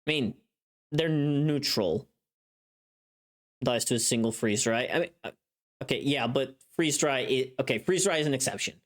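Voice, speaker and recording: A streamer with a medium-pitched voice chats casually, rambling and thinking aloud. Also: moderate pace, long pauses. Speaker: live streamer. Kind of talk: casual livestream chat.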